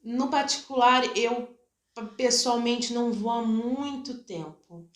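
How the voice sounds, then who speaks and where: A woman's voice: a little high, slow. A woman, talking casually as an interview guest in a candid, wryly ironic, colloquial way. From Brazil. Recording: roomy, echoing room sound.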